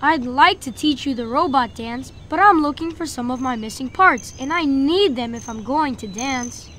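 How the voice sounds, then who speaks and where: A woman's voice: high-pitched, normal speed, sharp tone, slight background noise. A woman voicing a friendly, eager robot character in a children's game. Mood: friendly, apologetic.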